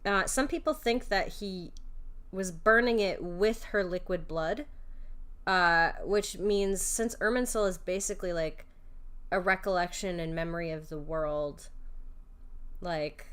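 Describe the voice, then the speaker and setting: A woman streaming casual reaction commentary on a game, informal and excitable as she thinks aloud. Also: on the low side. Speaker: streamer, vtuber.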